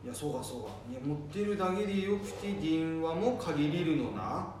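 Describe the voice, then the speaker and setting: A man's voice: fairly high pitch, expressive, moderate pace, a little mellow, close to an everyday voice. A man playing a forgetful, stubborn old grandfather in an amateur radio drama, grumbling in a rustic Nanbu dialect of northern Tohoku. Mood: won over. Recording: echoey room, distant mic, faint noise.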